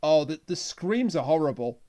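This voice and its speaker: A man with a high-pitched voice. He discusses things casually as a podcast reviewer, in an everyday conversational way. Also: lively intonation, normal speed, dark tone.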